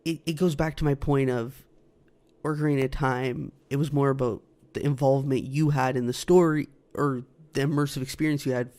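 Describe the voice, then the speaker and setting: A man with a mid-pitched voice speaks as a podcast co-host. He chats informally and argues his points as he goes, in an everyday conversational way. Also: steady tone, a little fast, darkish tone. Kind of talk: casual chat discussing video game movies.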